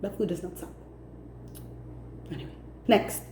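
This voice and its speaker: A woman's voice: on the low side, lively intonation, a little slow, darkish tone, roomy sound, a little hiss or hum. Exasperated woman. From Philippines, Cebu City. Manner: emphatic and exasperated, repeating points for stress. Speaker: vlogger. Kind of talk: direct-to-camera opinion rant.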